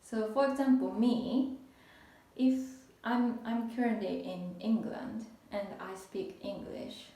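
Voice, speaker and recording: Slow Japanese-accented woman, echoey. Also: mid-range voice, dark tone, far from the mic. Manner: unhurried, halting, restarts phrases. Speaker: language tutor. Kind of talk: casual spoken lesson. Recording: echoey room, voice off-mic.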